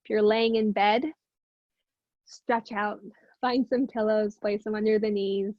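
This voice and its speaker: A woman teaching meditation, speaking gently and unhurriedly with long pauses in soothing guided instruction. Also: mid-range voice, level tone, dry recording, lo-fi sound.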